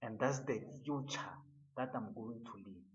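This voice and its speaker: A man giving an earnest motivational monologue, halting and often repeating his words. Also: mid-range voice, highs cut off.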